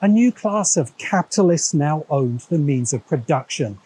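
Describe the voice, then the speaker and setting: Wry English male essayist; soft husky voice, slightly high, reading scripted narration. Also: lively intonation, moderate pace, poor audio. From England. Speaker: video essay narrator and host.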